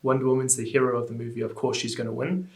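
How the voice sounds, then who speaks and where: A young man chatting casually in a reaction vlog, reading comments aloud and reacting with animated disbelief. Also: quick pace. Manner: animated, incredulous, rising pitch when exasperated. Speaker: YouTuber. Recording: echoey room.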